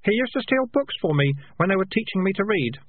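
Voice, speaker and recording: Earnest young lad, raised and husky, a man reading him, tinny and dry. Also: sits high, very animated, fast, dry recording, pitched above the performer's own voice.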